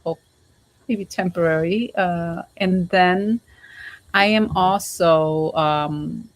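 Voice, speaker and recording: A middle-aged woman from Cambodia who grew up in the United States, speaking haltingly as a guest in a casual online interview. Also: lowish voice, steady tone, low-quality recording. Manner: halting, repeats words.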